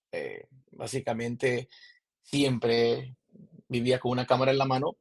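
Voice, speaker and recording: A man's voice: mid-range voice, vocal fry, slightly rough. A man in his thirties with a Maracaibo Venezuelan accent, a film director telling his story as a podcast guest, rambling with many restarts and fillers. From Zulia, Venezuela. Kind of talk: casual interview storytelling. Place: remote call from abroad.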